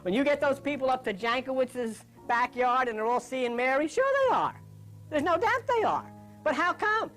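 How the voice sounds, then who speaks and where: A woman's voice: high voice, lively intonation, normal speed, a bit bright, soft background music. A woman giving an informal spiritual lecture in an emphatic, insistent way, repeating phrases for weight. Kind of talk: informal lecture on meditation and religion.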